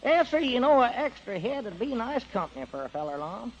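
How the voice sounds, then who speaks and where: An actor playing a simple-minded, talkative rural old-timer with a rural Southern accent in a folksy, rambling 1940s radio comedy style. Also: male voice, very high-pitched, sing-song, a little fast, exaggerated voice. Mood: musing. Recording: dull 1940s radio broadcast recording.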